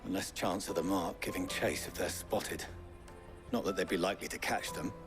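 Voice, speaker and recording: A man's voice: deep voice, fairly even intonation, normal speed, over music, natural voice. An actor playing a knowing, matter-of-fact male furniture maker in a fantasy video game, speaking colloquially. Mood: wry, knowing. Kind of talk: dramatic video game acting.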